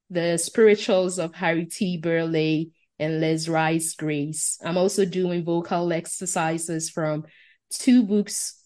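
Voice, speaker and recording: Woman, low voice, earnest. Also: darkish tone. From Ghana. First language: Asante Twi. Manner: earnest, detailed listing. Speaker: guest. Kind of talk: podcast interview.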